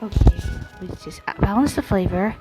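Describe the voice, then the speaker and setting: A woman's voice: mid-range voice, expressive, normal speed, poor audio. A woman hosting a gaming channel, giving casual play-by-play in short asides over game music. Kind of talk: casual gameplay commentary.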